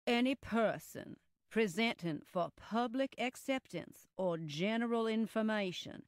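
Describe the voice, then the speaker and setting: A woman reading historical legal text aloud in a measured, formal manner. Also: on the high side, dry recording.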